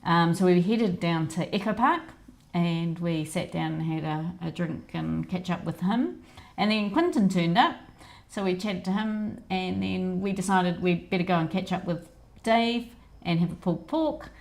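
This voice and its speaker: A middle-aged woman with a slightly low, gravelly voice co-hosts a livestream. She recounts her week in a chatty, easygoing manner. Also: unhurried. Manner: chatty storytelling, frequent laughs and backchannels. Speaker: co-host of a weekly livestream. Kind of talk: casual chat.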